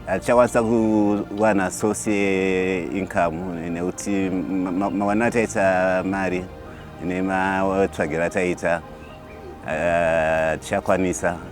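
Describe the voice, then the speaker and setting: A man with a Zimbabwean accent giving a halting personal testimony, often repeating the starts of words. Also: low-pitched, fairly even intonation. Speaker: minibus conductor. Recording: music under the voice.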